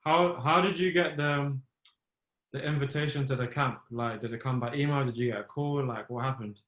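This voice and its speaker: A man's voice: mid-range voice, a bit bright, roomy sound. A man hosting a podcast interview, asking questions casually and encouraging his guest with slang. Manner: casual and encouraging, quick "yeah, yeah" and slang like "bro".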